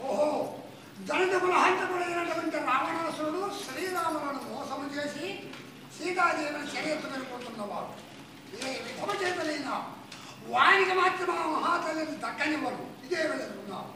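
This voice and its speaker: A man's voice: very high voice, moderate pace, background noise. A man voicing a loyal old bird king in a traditional puppet play, declaiming in a chanting theatrical way; recorded live, echoey and distant. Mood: defiant.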